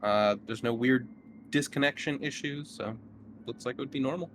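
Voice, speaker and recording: Guy game mastering a horror rpg, halting casual narration, faint music behind him. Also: mid-range voice, fairly bright tone.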